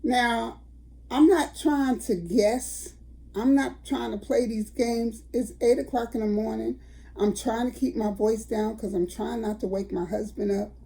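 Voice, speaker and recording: A woman's voice: fairly high pitch, fairly even intonation, unhurried, dark tone. An older woman, telling a story to her viewers in a chatty vlog. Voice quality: raspy. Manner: chatty, addresses viewers as y'all. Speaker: vlogger.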